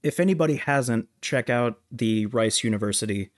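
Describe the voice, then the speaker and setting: A man's voice: mid-range voice, moderate pace, dry recording, studio quality. A man co-hosting a film review podcast, talking casually about movies. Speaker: podcast co-host reviewing films.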